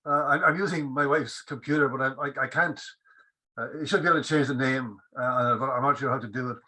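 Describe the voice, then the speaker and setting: A man asking a question in an informal online discussion, speaking haltingly and repeating words as he thinks. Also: mid-range voice, steady tone, bright, forceful tone, poor audio. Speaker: audience member asking a question. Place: indoors on a computer in an online meeting. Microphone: computer on a video call.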